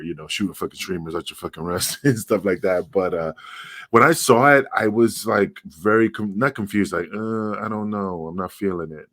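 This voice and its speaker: A very deep-voiced man chats casually on a podcast, cursing freely and telling animated stories. The sound is close and dry. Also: no echo. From Puerto Rican. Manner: profane, animated storytelling with laughs. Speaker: podcast co-host, former referee.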